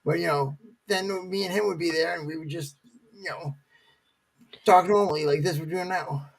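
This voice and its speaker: A man's voice: on the high side, steady tone, moderate pace, creaky, a crowd in the background, rough recording. A middle-aged man, a military veteran guest, chatting casually with many fillers and restarts. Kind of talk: casual talk show chat.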